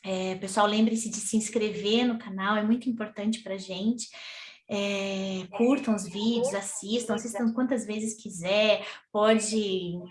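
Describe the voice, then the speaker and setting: A woman's voice: medium pitch, fairly even intonation, a little slow. Woman host, casual chat on a video call, roomy echo, lots of fillers and little checks with her guest. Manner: chatty, many fillers and tag questions.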